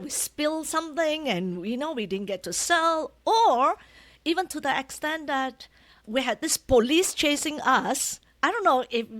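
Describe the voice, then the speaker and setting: A Malaysian woman with a Malaysian accent, whose first language is Malay, telling stories as an interview guest in a lively, chatty way, often adding tag questions. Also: high voice. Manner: animated, often ends phrases with tag questions. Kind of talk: casual storytelling interview.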